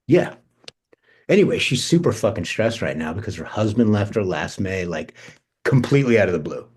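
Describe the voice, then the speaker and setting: An actor reading the part of an impulsive, foul-mouthed American man at a screenplay table read, casual and animated, with a husky voice. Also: on the low side, moderate pace, slight background noise. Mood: gossipy, guarded. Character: Benji, amped, impulsive, foul-mouthed American male cousin.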